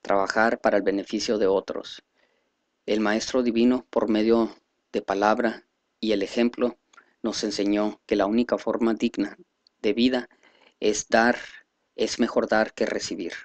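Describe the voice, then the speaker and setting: Man, flat intonation, dry close sound, careful halting reader, formal text read aloud. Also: lowish voice, no room sound. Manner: halting, careful reading with stumbles. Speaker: reader presenting a selected speech. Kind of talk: reading aloud a translated speech.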